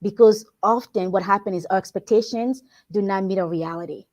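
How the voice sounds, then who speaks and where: Guest: woman from Congo, warm dark tone, earnest and reflective, restarts phrases. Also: medium pitch, a little fast. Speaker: guest, workplace wellbeing consultant. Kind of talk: conversational interview answer.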